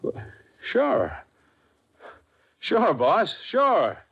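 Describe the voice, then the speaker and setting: An actor playing a nervous, deferential gang underling in an old-time radio crime drama, hesitant, stammering and eager to please. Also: male voice, high voice, wildly swooping pitch, extremely slow, creaky, heavily acted. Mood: nervous, placating. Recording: muffled, slightly hissy old radio recording.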